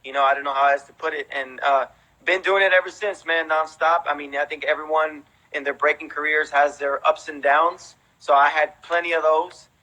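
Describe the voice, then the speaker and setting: A man's voice: on the high side, fairly even intonation, a little fast, pushed and bright, poor audio. A man in his thirties with an American accent, telling stories casually as an interview guest, with an informal way of talking full of conversational tags. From raised in Houston, United States, born in Mexico. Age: 30s. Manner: informal, peppers talk with "you know" and "man". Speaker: interview guest, veteran breakdancer.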